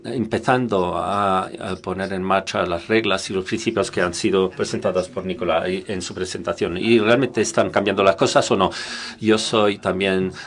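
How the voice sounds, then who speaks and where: A man with a low, deep voice interpreting a conference talk as it happens. He stops and restarts words often and fills gaps with hesitant sounds. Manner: halting, frequent restarts and filler sounds.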